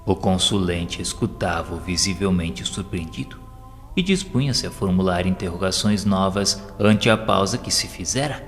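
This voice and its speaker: A Brazilian man narrates an audio novel in a low register. His reading is formal and measured. The voice rings with long hall reverb, and music plays behind it.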